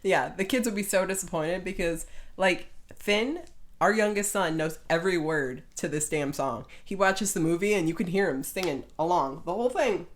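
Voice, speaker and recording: A woman's voice: on the low side, lively intonation, moderate pace. A middle-aged woman co-hosting a podcast in casual conversation. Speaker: podcast co-host. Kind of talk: casual chat.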